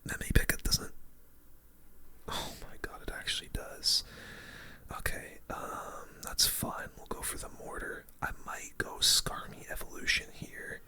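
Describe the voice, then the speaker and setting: Asmr gaming host, all breathy whisper, very bright and hissy, casual chill play by play. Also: unhurried. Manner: relaxed, casual, slangy. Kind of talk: whispered ASMR game commentary.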